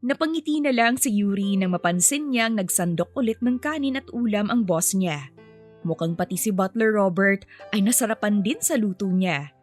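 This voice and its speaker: A woman's voice: medium pitch, lively intonation, moderate pace. A woman storyteller reading a scripted romance story as narration. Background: faint music.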